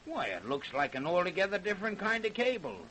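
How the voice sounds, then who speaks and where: A man's voice: high voice, big pitch swings, fast, sharp tone, exaggerated voice. An actor playing a stubborn, folksy backwoods lawman in an old-time radio drama, with a hectoring, colloquial way of talking. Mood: puzzled, conceding. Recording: hissy, noisy old radio recording.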